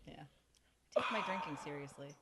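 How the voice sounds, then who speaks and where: A woman guest on a casual podcast, talking in a blunt, offhand, deadpan way. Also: low voice, normal speed, vocal fry, background noise. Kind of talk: casual drinking chat.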